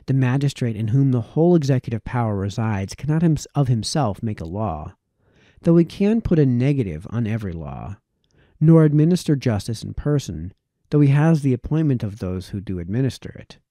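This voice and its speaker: A man reading an old political text aloud as an audiobook narrator, phrasing it formally and deliberately. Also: medium pitch, big pitch swings, mellow, dry recording, clean studio sound. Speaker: audiobook reader.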